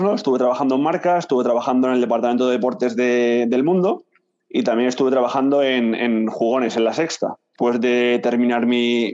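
A man in his thirties from Madrid, a guest telling his career story in a relaxed, chatty way, often tagging sentences with a question. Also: medium pitch, lo-fi sound. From Madrid, Spain. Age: 30s. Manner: relaxed, chatty, tags lines with "¿no?". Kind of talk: casual interview talk.

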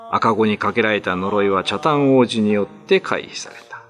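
A man's voice: fairly low pitch, normal speed, a bit bright. A man narrating a classical ghost story for radio drama in a theatrical, ominous, scripted style. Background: music.

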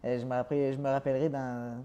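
A man's voice: medium pitch, quick pace, dull audio. A young man from France with a French accent turning Québécois, telling stories casually in an interview and acting out the dialogue. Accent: French, partly Québécois. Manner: animated storyteller, acts out dialogue, laughs often. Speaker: guest.

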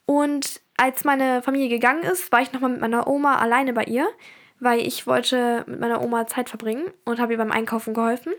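A woman's voice: fairly high pitch, flat delivery, a little fast. A teenage girl from Germany hosting her own podcast, telling about her week in a chatty, rambling way with frequent fillers. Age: teens. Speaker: podcast host. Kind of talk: casual personal podcast chat.

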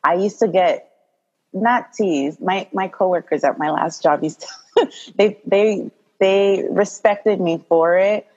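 A woman from Congo, a doula and podcast guest, talking in a calm, direct way and laughing often. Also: mid-range voice, slow pace, lo-fi sound. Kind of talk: casual podcast interview.

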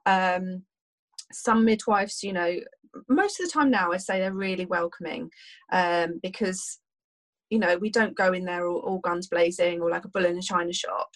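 A woman's voice: fairly low pitch, moderate pace, poor audio. A woman, a doula, answering podcast questions casually with many fillers and restarts while losing her voice. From United Kingdom.

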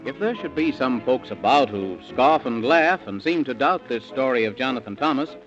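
A man's voice: medium pitch, animated, normal speed, a bit bright, faint music behind, close to an everyday voice. A man narrating a rhyming children's bedtime story in theatrical, sing-song 1930s radio style, on an old recording. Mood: eager storytelling. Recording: dull, band-limited 1930s radio recording.

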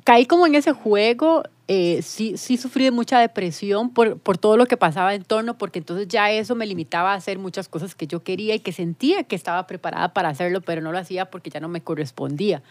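A woman, a TV presenter talking reflectively in a casual panel chat and slowing down to stress her points. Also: mid-range voice, a little mellow, no echo. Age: 40s. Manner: reflective, emphatic, slows down on key points.

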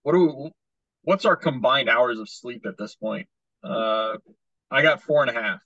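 A man who writes about sports, co-hosting a casual podcast and explaining things in a measured way. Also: medium pitch, vocal fry, sharp tone, dry recording, dull audio. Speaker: sportswriter co-host.

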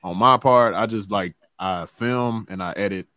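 A man's voice: fairly low pitch, moderate pace, bright, forceful tone, no room sound, studio quality. A man hosting a podcast, talking in a laid-back way with lots of laughter, slang and swearing. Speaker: podcast host. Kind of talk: casual podcast chat.

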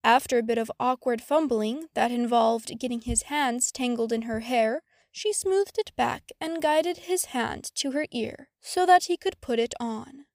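A woman's voice: a little high, unhurried, hardly any pauses, a little mellow, no echo, clean studio sound. A woman reading a story aloud as scripted audiobook narration. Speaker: fan fiction audiobook narrator.

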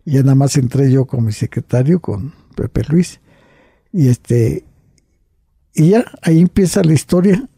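Older man, husky, gravelly, storytelling with frequent restarts. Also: mid-range voice, a little slow, dark tone, dry recording, studio quality. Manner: storytelling with tags like "right?" and "you see?", frequent restarts. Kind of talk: recounting personal memories in conversation.

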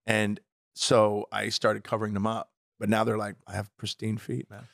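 A man's voice: on the low side, level tone, normal speed, vocal fry, no room sound, studio quality. A man co-hosting a podcast, a stand-up comic who tells brash, profane, teasing stories in casual banter.

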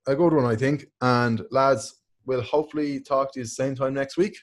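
A man's voice: mid-range voice, fairly even intonation, normal speed. A young man co-hosting a podcast, rambling and joking with a lot of swearing in loose banter. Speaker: podcast co-host. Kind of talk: casual chat.

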